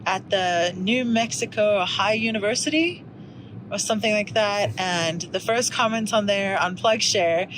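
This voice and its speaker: A woman's voice: medium pitch, a little slow, a little hiss or hum, low-quality recording. A woman vlogging from the passenger seat of a car at night, talking casually and animatedly with her partner. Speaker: vlogger, co-host.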